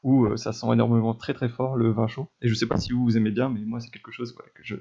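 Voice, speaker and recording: A man's voice: mid-range voice, little pitch movement, fast, mellow, husky. A man hosting a podcast, asking questions and chatting casually with some hesitation. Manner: asks questions, hesitant with fillers. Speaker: podcast host. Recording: dull lo-fi mic.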